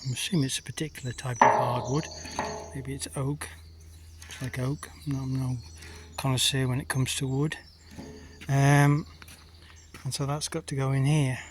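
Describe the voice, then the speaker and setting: A man with a slightly chesty voice talks through a farm machinery repair as he goes. He thinks aloud in an easy, casual way and fills pauses with lots of ums. His pitch sits in an ordinary middle range, with faint background noise behind him. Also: flat delivery. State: a bit chesty after illness. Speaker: farmer and video maker.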